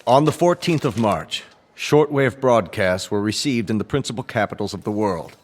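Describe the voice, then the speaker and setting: An actor playing a formal, grave Secretary General addressing an assembly in measured, oratorical radio drama style. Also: male voice, fairly low pitch, animated, natural voice. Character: formal UN Secretary General making grave announcement, growing flustered.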